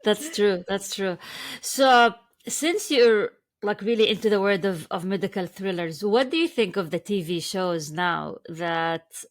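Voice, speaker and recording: A woman's voice: mid-range voice, unhurried. Curious woman podcast host. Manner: curious, short acknowledging replies. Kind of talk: casual interview.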